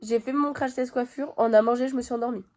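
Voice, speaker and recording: Woman, stuffy nose from a cold, casual vlog chat, mellow tone, lots of fillers, home bedroom. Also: on the high side, steady tone, fast talker. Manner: chatty, talks straight to viewers with many fillers. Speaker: vlogger.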